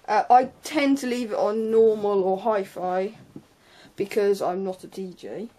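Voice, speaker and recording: A woman's voice: mid-range voice, measured pace, warm tone. Hesitant young woman giving a tutorial. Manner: hesitant, offhand, trailing off into asides. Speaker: sound tech tutorial presenter. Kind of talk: informal unscripted hands-on tutorial.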